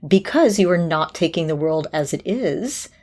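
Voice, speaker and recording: A woman with a slightly low voice co-hosts a casual podcast. She talks conversationally, moving easily between reading out notes and offering her own take. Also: lively intonation, moderate pace, a little mellow. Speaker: podcast host. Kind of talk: casual podcast co-hosting, chat and advice.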